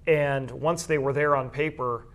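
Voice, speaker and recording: Male interviewee, mid-pitched, measured and detailed, explaining his work in school sports information. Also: steady tone, fairly bright tone.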